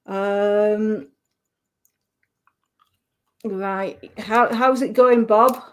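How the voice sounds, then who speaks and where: A woman with a Yorkshire accent giving a live psychic reading on the radio, gentle and reassuring, often restarting her sentences. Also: mid-range voice, fairly even intonation, very slow.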